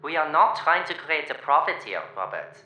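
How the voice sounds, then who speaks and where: Actress as low, animated, literal robot science officer, formal delivery, audio play. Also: female voice, moderate pace, exaggerated voice. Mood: objecting. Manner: formal, literal, matter-of-fact. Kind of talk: science fiction audio drama acting. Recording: roomy echo, faint noise.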